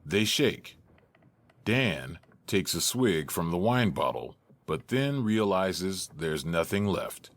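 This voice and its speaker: A man's voice: low-pitched, very animated, takes it slowly. A man narrating an audio drama, reading out scripted stage directions.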